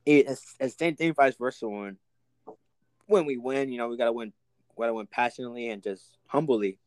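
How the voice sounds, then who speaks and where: A young man co-hosting a casual podcast, easygoing and quick to laugh, talking with lots of fillers. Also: medium pitch, a little mellow, no echo. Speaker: podcast co-host. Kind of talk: casual chat.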